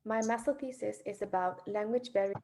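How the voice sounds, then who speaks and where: A young woman from Vietnam, presenting her research in a careful, measured way. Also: mid-range voice, dark tone. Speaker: student presenter.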